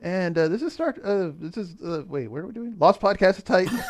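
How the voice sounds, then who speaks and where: A man with a slightly raised pitch co-hosts a casual review podcast. He talks in a jokey way, slipping between mock-formal announcer phrasing and loose, sweary conversation. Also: a little hiss or hum. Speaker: podcast co-host. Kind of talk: casual comedic review chat.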